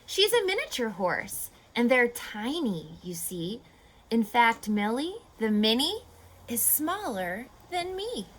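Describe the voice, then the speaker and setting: A woman reading a picture book aloud to children like a teacher, expressively, pausing to explain and ask questions. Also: fairly high pitch, poor audio. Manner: expressive, instructive, asking questions of young listeners.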